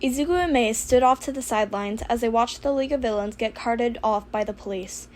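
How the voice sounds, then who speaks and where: A woman's voice: fairly high pitch, a little fast. A woman reading a fan fiction story aloud as scripted audiobook narration.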